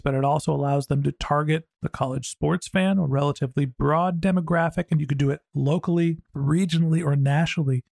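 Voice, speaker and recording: A man's voice: a little high, vocal fry, darkish tone, no room sound, studio quality. A middle-aged man hosting a podcast interview in a chatty, enthusiastic, conversational way. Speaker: podcast host.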